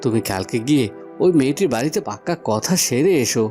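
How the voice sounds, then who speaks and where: A man's voice: mid-range voice, lively intonation, quick pace, dark tone, lightly acted. A man voicing an earnest young village son in a cartoon, with music behind him. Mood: earnest, eager. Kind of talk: Bengali cartoon dubbing.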